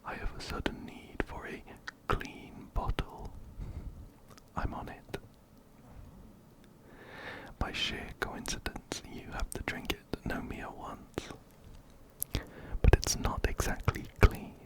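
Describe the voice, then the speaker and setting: A man whispering breathily and gently, very close to a binaural microphone, as he narrates a game and reads its text aloud. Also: slow pace, pauses often, poor audio. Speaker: ASMR video host. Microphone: close-up binaural microphone, ear to ear.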